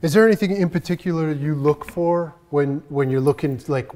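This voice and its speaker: A man's voice: fairly high pitch, normal speed, mellow. A man in his forties hosting a craft show, an eager and curious questioner in a large workshop, recorded on a lapel mic. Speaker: host. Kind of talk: casual interview chat. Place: large woodworking workshop indoors. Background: guitar music.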